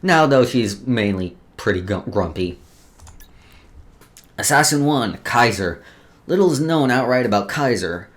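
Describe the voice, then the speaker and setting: A man hosting a let's play, reading game lore aloud with casual asides and often stumbling over words before restarting. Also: mid-range voice.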